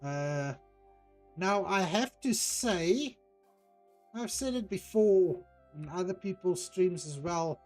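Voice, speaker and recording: Slow-talking Afrikaans-accented man. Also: high voice, animated, faint music behind. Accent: Afrikaans South African. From South Africa. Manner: unhurried, hesitant, with frequent ums while reading out chat. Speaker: streamer. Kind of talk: casual chat while playing a game.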